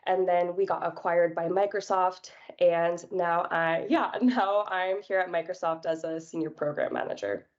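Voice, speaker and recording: A young woman from New York, a podcast guest, telling her career story in a relaxed, chatty way with plenty of fillers. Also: fairly low pitch, moderate pace, muffled recording. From New York, United States. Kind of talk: casual interview chat.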